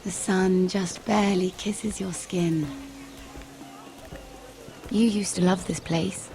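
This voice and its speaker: A woman playing a gentle, wistful young noblewoman with a secretive manner in a video game. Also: on the low side, fairly even intonation, slow. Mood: wistful, coaxing.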